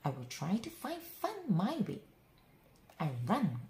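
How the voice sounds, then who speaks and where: Female storyteller for kids' picture books, unhurried and hugely expressive, dramatic phrasing, recorded in an echoey room with faint noise. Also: medium pitch. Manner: dramatic, deliberate phrasing with drawn-out words. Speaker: picture book reader. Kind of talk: expressive children's bedtime story read-aloud. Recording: roomy echo, faint background noise.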